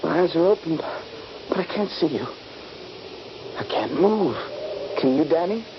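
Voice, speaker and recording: Trapped soldier, dull noisy radio recording. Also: male voice, on the high side, lively intonation, a little slow. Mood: dazed, strained. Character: trapped, injured Union soldier recalling his ordeal. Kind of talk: radio drama acting and first-person narration.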